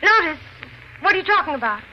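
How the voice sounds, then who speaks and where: A woman's voice: very high-pitched, sing-song, quick pace. Through a muffled, noisy old radio recording comes an anxious woman plotting a cover-up. Her delivery is urgent and dramatic, swinging between pleading and cool scheming. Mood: nervous. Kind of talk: old-time radio suspense drama acting.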